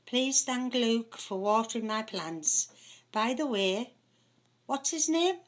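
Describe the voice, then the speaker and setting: Husky North East English woman reading drama. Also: on the high side, expressive, slow. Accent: Houghton-le-Spring pitmatic, North East English. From north-east England. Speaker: amateur script reader. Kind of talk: dramatic dialogue read-through.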